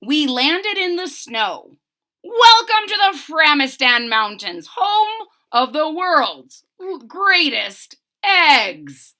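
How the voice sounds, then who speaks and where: A woman's voice: high voice, big pitch swings, extremely slow, pushed and bright, pitched above the performer's own voice, heavily acted. Woman reading as a hearty old grandpa. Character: cheerful adventurous old grandfather. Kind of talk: read-aloud storybook voice.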